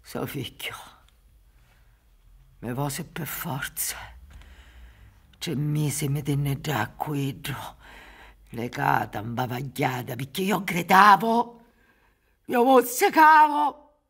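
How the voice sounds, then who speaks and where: An actress in her sixties playing an anguished, bitter old Sicilian peasant mother in a radio drama, with a Sicilian accent and halting, dramatic delivery. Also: low voice, very animated, creaky, breathy edge, full character voice. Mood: haunted, bitter, then tormented. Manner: dramatic, halting, swinging between hushed whispers and cries. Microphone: studio microphone with headphones on a theatre stage.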